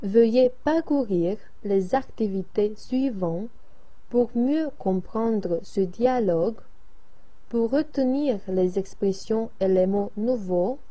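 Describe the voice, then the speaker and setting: A woman slowly reading French lesson exercises aloud in an even, careful way. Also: on the high side. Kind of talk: slow read-aloud of dialogues and exercises.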